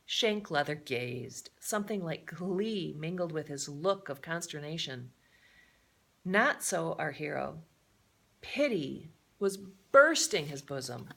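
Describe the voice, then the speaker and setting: Female storyteller for children's adventure book read-aloud; lowish voice, very animated pitch, expressive delivery. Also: creaky. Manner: expressive, with explanatory asides to listeners.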